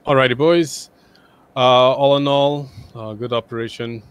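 A man leading a team in casual game voice chat, pausing hesitantly between phrases. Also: mid-range voice, fairly even intonation, smooth, no room sound. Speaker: squad leader in an online tactical game. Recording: faintly noisy online game voice chat.

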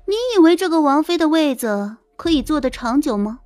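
A woman voicing a haughty, menacing young concubine in an audio drama, sneering and scolding with drawn-out threats. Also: high voice, animated, darkish tone, soft background music, pitched above the performer's own voice. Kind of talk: audio drama character acting.

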